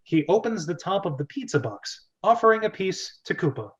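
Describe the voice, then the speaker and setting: A man's voice: high voice, very animated, moderate pace, slightly rough, lo-fi sound. A man hosting a casual amateur screenplay read, narrating stage directions and breaking off into laughing asides. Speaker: host and narrator of a script read-through.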